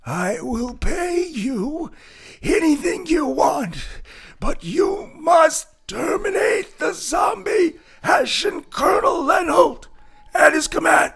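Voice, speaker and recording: Man playing melodramatic coward, piercing tense voice, wild pitch swings, comic audio drama. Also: expressive, slow, gravelly, fairly bright tone, raised voice, exaggerated voice. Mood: desperate.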